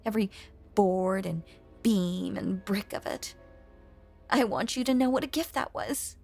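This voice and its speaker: A woman playing a lonely, possessive female ghost in an intimate audio drama monologue, hushed and coaxing, with genteel old-fashioned phrasing and a breathy voice that often sinks toward a whisper. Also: mid-range voice, a little slow, faint music behind. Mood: proud, eerie devotion.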